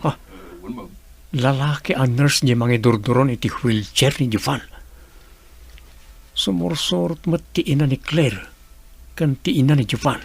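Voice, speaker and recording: Gravelly, gossipy Ilocano snoop. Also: male voice, medium pitch, unhurried, pauses often. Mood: curious. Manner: musing aloud to himself. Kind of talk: Ilocano radio drama acting, muttered monologue.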